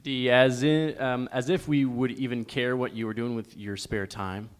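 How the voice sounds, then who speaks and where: A man's voice: mid-range voice, steady tone, normal speed, clear, resonant tone, close to an everyday voice. A man playing a brash, dismissive, macho barroom buddy in a live sitcom table read. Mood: brash, scornful.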